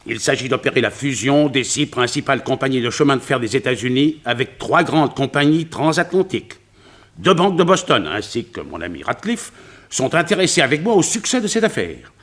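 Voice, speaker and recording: An emphatic, earnest financier in a radio play. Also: male voice, medium pitch, sing-song, moderate pace, fairly bright tone. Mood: grave, emphatic. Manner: formal, precise, emphatic. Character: earnest, worried wealthy financier, formal and grave. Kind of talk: detective radio play acting.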